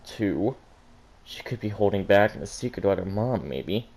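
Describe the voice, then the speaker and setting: Man as a pensive young woman, low and mellow. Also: animated, normal speed, vocal fry. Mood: puzzled, brooding. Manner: introspective, reasoning to herself. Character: pensive, reserved young woman puzzling over her feelings.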